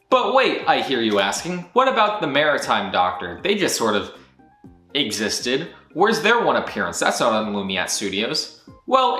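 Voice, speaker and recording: A man's voice: medium pitch, bright, forceful tone. A man hosting his channel's casual year-end recap, rambling and breaking off into jokey asides. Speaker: channel host. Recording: roomy echo with faint music.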